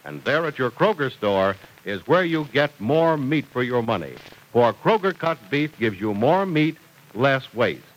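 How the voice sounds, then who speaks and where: A man's voice: medium pitch, sing-song, a little slow, low-quality recording. An American man working as an old-time radio announcer, reading in an emphatic, salesmanlike style. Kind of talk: 1940s radio announcing and ad read.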